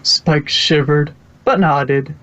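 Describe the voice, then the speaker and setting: A man's voice: a little high, very slow, a bit bright. Dull-sounding man narrating a story. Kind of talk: amateur storybook narration of fan fiction. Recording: dull, lo-fi home microphone.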